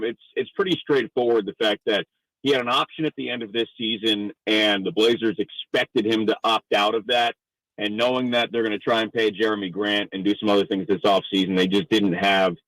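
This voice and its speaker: Low-pitched man in a dry radio interview. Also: fairly bright tone, dry recording, low-quality recording. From Northeastern United States. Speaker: basketball play-by-play radio announcer, interview guest. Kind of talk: conversational sports radio interview.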